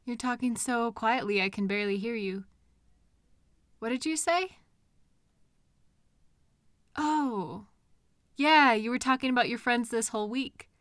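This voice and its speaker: A woman's voice: medium pitch, no echo, clean studio sound, lightly acted. A woman playing a caring partner in an intimate comfort roleplay, speaking tenderly and expressively straight to the listener. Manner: tender, expressive, with soft pauses and questions. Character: caring, gently indignant woman comforting her upset partner. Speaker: audio roleplay performer. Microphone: close microphone in a dry room.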